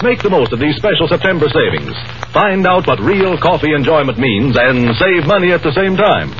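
An American man delivers a formal 1930s radio advertisement. His fairly high voice rises and falls with great liveliness, on a muffled vintage recording. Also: big pitch swings, moderate pace. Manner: formal, earnest sales pitch. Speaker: radio announcer. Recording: dull 1930s radio broadcast.

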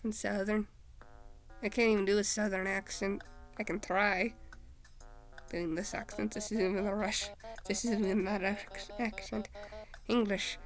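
Young adult woman for let's-play commentary; chatty, mutters to herself, mid-pitched voice, light background music. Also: flat delivery, unhurried, long pauses, poor audio. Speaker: gaming video creator.

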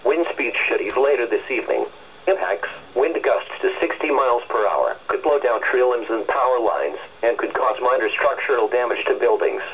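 A man's voice: medium pitch, expressive, moderate pace, slightly rough. An automated male announcer reading scripted weather alerts in a mechanical, impersonal way over a radio. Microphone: radio speaker re-recorded by a camera in a room. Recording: muffled weather radio broadcast played through speaker.

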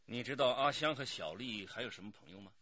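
An actor playing a businesslike male police detective in a radio drama, asking probing questions in a measured way. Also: medium pitch, animated, bright, forceful tone, no echo, dull audio. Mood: probing, serious.

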